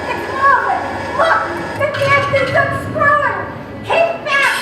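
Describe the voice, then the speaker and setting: A woman playing a frantic bystander calling out shrill warnings in an old-time radio play. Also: slow, bright, forceful tone, exaggerated voice. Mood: frantic alarm. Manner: shrill exclamations. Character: frantic bystander shouting warnings to keep back. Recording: echoey hall from far off, crowd noise.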